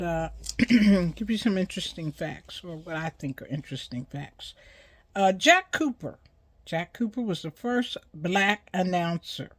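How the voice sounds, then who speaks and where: A woman over sixty hosting an informal educational monologue, speaking in a deliberate, halting way with emphatic pauses. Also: lowish voice, no echo. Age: 60s or older. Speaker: host.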